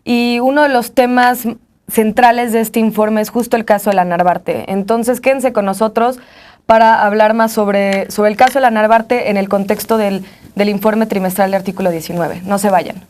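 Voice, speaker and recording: A woman's voice: medium pitch, steady tone, moderate pace, darkish tone, slight background noise. A woman with a Mexican accent hosting informally in a studio. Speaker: TV host.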